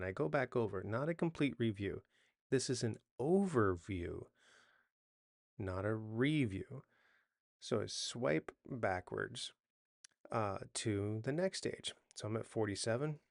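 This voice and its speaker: Man, 40s, low gravelly voice, dry close sound, relaxed unscripted coach explaining tips and checking in with "right?". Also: no echo. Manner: conversational, self-correcting, often checks in with "right?". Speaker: hypnotist and coach giving sleep advice. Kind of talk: unscripted how-to talk to camera.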